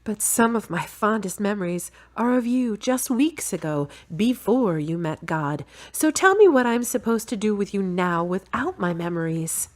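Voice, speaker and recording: A woman's voice: medium pitch, animated, normal speed, hardly any pauses, lightly acted. An actress playing a sensible, exasperated woman in audio drama dialogue, frank and articulate. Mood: hurt, exasperated.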